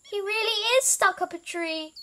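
A woman voicing an eager, childlike young elephant in a storybook, exclaiming excitedly. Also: very high voice, slow, noisy background, voice pushed up, full character voice. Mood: curious.

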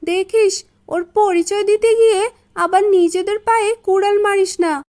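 A woman's voice: extremely high pitch, fairly even intonation, a little slow, a little mellow, pitched above the performer's own voice. A woman voicing a spiteful, bossy young sister in a cartoon, scolding with scorn. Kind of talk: Bengali cartoon dubbing.

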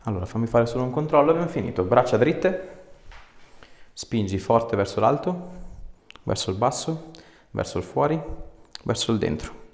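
A man's voice: mid-range voice, expressive, normal speed, creaky. A man, a chiropractor giving casual instructions and chatting with a patient. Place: small indoor clinic treatment room. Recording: echoey treatment room, faint noise.

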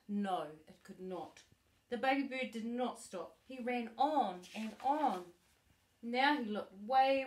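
A middle-aged woman reading a children's story aloud expressively, with deliberate emphasis for a young listener. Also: a little high, slight background noise. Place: living room sofa, indoors. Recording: echoey living room.

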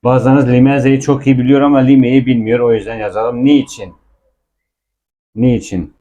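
Male language teacher, soft-edged mellow tone, informal explanatory delivery with patient repetition. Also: mid-range voice, fairly even intonation, moderate pace, highs cut off.

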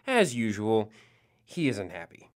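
An actress playing a mischievous goddess who co-narrates an audio drama with playful, sardonic asides. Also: female voice, extremely low pitch, sing-song, unhurried, heavily acted. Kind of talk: scripted banter.

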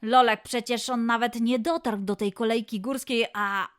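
A woman's voice: a little high, fairly even intonation, normal speed. A woman presenting a scripted quiz for children as host, announcing and addressing the young listeners directly. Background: music.

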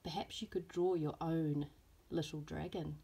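Husky New woman reading to children. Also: deep voice, a little slow. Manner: expressive, addressing small children simply. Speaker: primary school teacher. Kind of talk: storybook read-aloud to young children.

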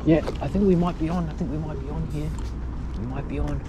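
A young man commentating his own fishing live from an outdoor wharf at night, chatty and loudly excited when fish bite. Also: fairly high pitch, quick pace. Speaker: fishing vlogger. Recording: outdoor night recording with background noise.

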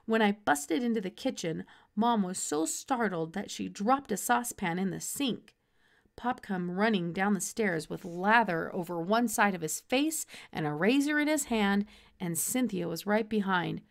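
Casting: female storybook narrator, thirties, expressive, medium pitch with creak in the voice. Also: moderate pace. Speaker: storybook reader. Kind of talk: expressive children's audiobook narration.

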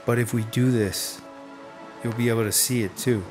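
A man's voice: mid-range voice, steady tone, normal speed, music in the background, close to an everyday voice. A man playing an earnest, persuasive friend in a radio drama, in a casual, hesitant, coaxing way.

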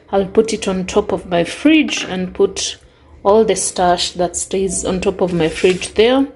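A young Kenyan woman narrates her haul in a relaxed, chatty voice-over. She takes her time, naming each item one after another. Her voice sits at a middle pitch, and a faint background noise runs beneath it. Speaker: vlogger.

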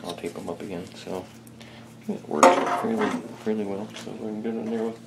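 A man's voice: lowish voice, fairly even intonation, normal speed, heavy background noise. A man chatting casually and hesitantly as he thinks aloud during hands-on hobby work in a small home workshop. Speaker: hobbyist restorer, video host.

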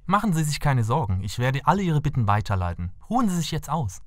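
A man's voice: medium pitch, big pitch swings, fast talker, close to an everyday voice. A man voicing an earnest, courteous young prince in a fairy tale cartoon, formal in his speech.

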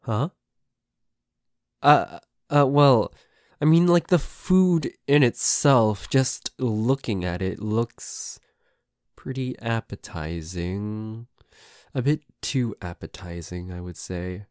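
Slow-paced, grumbling tsundere boyfriend played by a man. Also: on the low side, a little mellow, dry recording, clean studio sound. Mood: flustered, defensive. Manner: stammering, hesitant, grudging, sweary. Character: grumbling, flustered tsundere boyfriend, secretly affectionate. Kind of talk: ASMR boyfriend roleplay audio.

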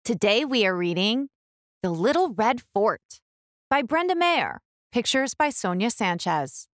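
Animated, unhurried woman reading to children. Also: a little high, no echo, clean studio sound. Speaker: children's book reader and channel host. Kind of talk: expressive picture-book read-aloud.

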